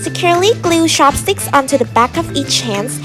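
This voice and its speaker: High-pitched young woman narrating over music. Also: expressive, normal speed. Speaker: tutorial narrator. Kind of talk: scripted craft tutorial narration. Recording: music behind the voice.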